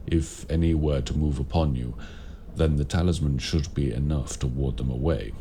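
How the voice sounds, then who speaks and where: A man playing a courteous, grave warlock mentor in raven form, speaking formally and deliberately in an audio roleplay. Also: extremely low pitch, mellow, a little hiss or hum. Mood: grave, measured. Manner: formal, measured, deliberate phrasing. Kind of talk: audio roleplay addressed to the listener.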